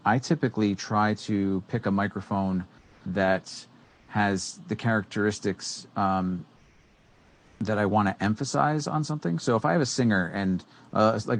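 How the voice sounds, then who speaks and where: A very low-voiced man in his thirties talks through audio gear at a relaxed, unscripted pace. He wanders with frequent fillers and restarts, speaking into a studio microphone in a small room. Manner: rambling, many fillers and restarts. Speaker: co-host. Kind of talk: casual educational tech talk. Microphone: studio microphone on boom arm.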